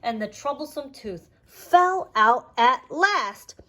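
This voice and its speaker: A woman reading a children's storybook aloud in an animated narrating style. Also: high voice, slow pace. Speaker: children's audiobook reader.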